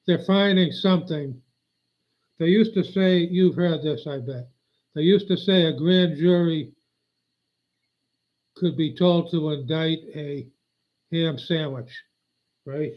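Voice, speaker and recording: A man's voice: a little high, takes it slowly, pauses often. An older man rambling on a live stream, meandering and aggrieved, often losing his train of thought. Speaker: livestreamer. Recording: dull lo-fi audio.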